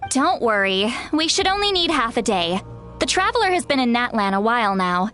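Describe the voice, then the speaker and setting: Game scholar girl: helpful, matter-of-fact, highish animated voice, light music underneath. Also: a little fast. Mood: reassuring. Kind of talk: video game character acting.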